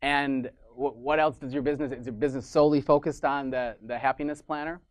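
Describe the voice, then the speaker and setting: Male show host, mid pitch, enthusiastic interviewer, dry booth sound. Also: fairly even intonation, quick pace, no room sound. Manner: enthusiastic, repeats "amazing", some restarts. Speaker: podcast host. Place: Sydney, Australia. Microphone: video call.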